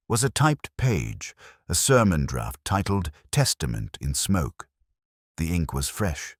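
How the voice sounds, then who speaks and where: A man narrating a first-person detective story in a measured, literary and solemn manner. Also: deep voice, dry recording, studio quality.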